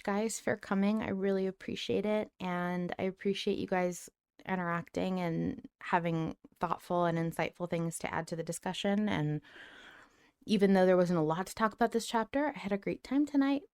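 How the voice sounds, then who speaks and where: A woman's voice: medium pitch, a little mellow, clean tone, dry recording, studio quality. A woman hosting a podcast in a casual, conversational discussion. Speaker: podcast host.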